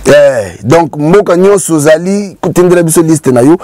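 A man in his thirties hosting a casual talk in a small indoor studio, speaking in a relaxed, halting way with many fillers. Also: on the high side, dry recording. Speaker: host.

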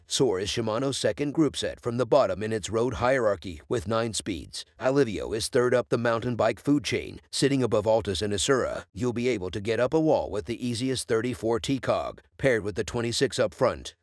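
A man narrating a scripted product review in an even, steady read-aloud delivery. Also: mid-range voice, dry recording, clean studio sound. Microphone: voice-over.

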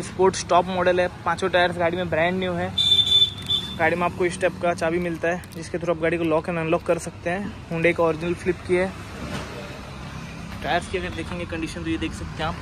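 A man, a used car dealer filming a walkaround of a car for sale and briskly listing its features and price. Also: high-pitched. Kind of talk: car walkaround and sales pitch. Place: outdoor car lot, then inside a car on the road. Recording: outdoor noise and traffic.

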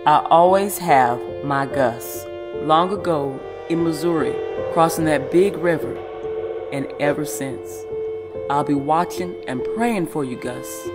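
A woman's voice: low-pitched, takes it slowly, loud music behind the voice. An actress playing an elderly, devout mother, tender and worried, speaking solemnly and coaxingly in an audio drama.

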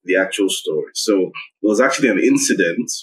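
A man, a guest recounting a personal story in a measured, reflective way. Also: low voice, animated, poor audio. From Nigeria. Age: 30s. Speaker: guest, investigative journalist. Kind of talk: personal account in interview.

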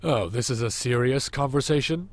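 An actor playing a crude, sarcastic, unhappily married boss in an audio drama, with deadpan delivery. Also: male voice, medium pitch, normal speed, no echo, natural voice.

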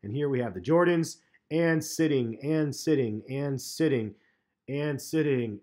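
A man in his thirties giving a relaxed, chatty sneaker review in a vlog, with plenty of ums and uhs. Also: mid-range voice. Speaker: sneaker vlogger. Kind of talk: casual vlog commentary. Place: parked car, and voice-over on store footage.

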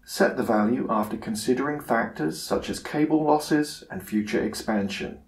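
Roomy-sounding male tutorial narrator. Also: medium pitch. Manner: measured, instructional. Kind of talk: scripted narration, software tutorial.

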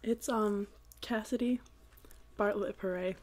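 A young woman guest chatting casually while she eats, with a naturally loud voice and lots of "like", seated on a couch at home. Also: mid-range voice, fairly even intonation, slow pace. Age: young adult. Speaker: guest, host's sister. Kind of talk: casual chat while eating. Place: living room couch, indoors.

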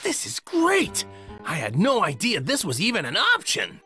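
A woman's voice: medium pitch, wildly swooping pitch, moderate pace, bright, forceful tone, soft background music. A woman voicing an earnest, naive, boyish male martial arts hero in a video game. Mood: eager.

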